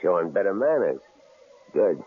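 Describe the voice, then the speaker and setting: An actor playing a menacing, sneering male stranger in an old radio drama, with a gravelly, mocking drawl. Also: low-pitched, big pitch swings, moderate pace. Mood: leering. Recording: tinny old radio broadcast, faint hiss.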